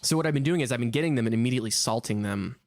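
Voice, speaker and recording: A man with a gravelly voice chats casually on a podcast, wandering through a story and leaning on filler words. The recording is close and dry, with no room sound. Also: medium pitch, very fast, dry recording. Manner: rambling storyteller, heavy use of "like". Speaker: podcast co-host. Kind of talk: casual chat.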